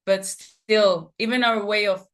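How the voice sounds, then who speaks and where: A woman's voice: mid-range voice, steady tone, measured pace. A woman from Argentina, a native Spanish speaker with an Argentine accent, talking earnestly and emphatically as a podcast guest. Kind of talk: casual conversation.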